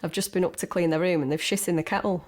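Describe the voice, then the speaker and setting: Lower-pitched woman police call handler. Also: fairly even intonation, fast talker, background noise.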